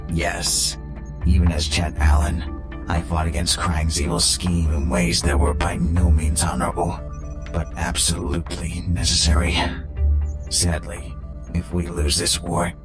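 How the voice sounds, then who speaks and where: A man's voice: low-pitched, completely flat delivery, measured pace. A man voicing a courteous, scholarly robot professor with a formal, precise, grave delivery in an audio drama. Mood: grave, measured. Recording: echoey, with music behind.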